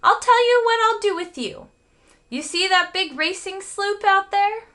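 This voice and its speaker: A passionate storybook boat owner, a grown man played by a woman, talks in a bright, forceful voice. He is dramatic and grumbling, leaning hard on his words with big swings of pitch. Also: expressive, unhurried, exaggerated voice. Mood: resentful.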